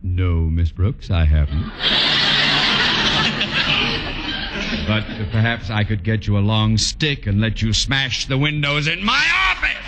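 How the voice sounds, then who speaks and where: An actor playing an irascible, sarcastic middle-aged high school principal in an old-time radio comedy. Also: male voice, big pitch swings, normal speed. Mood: exasperated. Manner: testy, sarcastic, pompous. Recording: muffled, very noisy old radio recording with clicks.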